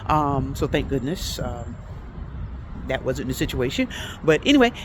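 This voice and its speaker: A chatty woman teaching language in a relaxed vlog, whose first language is English. She talks in an easy, halting way, filling pauses with ums and uhs and moving between languages. She is recorded outdoors in a city, with faint traffic in the background. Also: very deep voice. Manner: chatty, hesitant with many fillers, switches between languages. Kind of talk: casual vlog lesson.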